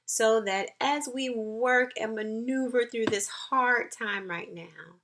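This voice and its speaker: A woman school counselor giving an encouraging, nurturing talk to young children, with deliberate, emphatic phrasing and pauses. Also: on the high side, noisy background.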